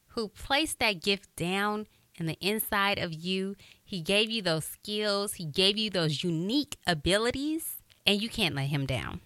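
A woman radio broadcaster hosting a motivational podcast, with a high voice and an upbeat, encouraging way of talking. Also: sharp tone, dry recording.

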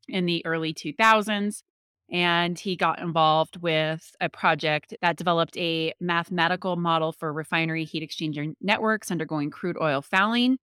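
A woman's voice: on the low side, fairly bright tone, clean tone, dry recording, studio quality. A woman hosting a podcast, introducing her guest and asking questions in an upbeat, enthusiastic way. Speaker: podcast host.

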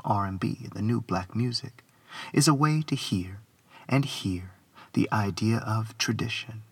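A man with a husky, gravelly voice reads a literary essay like a spoken-word poet, phrasing deliberately and rhythmically and leaning into the wordplay. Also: lowish voice, a bit bright. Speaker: poet reading own essay.

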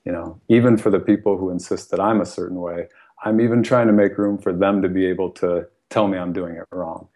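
A man's voice: sits low, steady tone, fairly quick, mellow. An American man, a guest author, talking earnestly and thoughtfully in a podcast conversation, often laughing and saying "you know". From United States.

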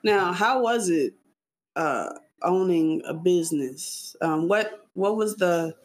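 A woman's voice: fairly low pitch, animated, slow pace. A woman hosting a podcast, interviewing her great uncle in a playful, teasing way. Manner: playful, teasing, laughs often. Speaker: podcast host interviewing family. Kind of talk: casual family interview.

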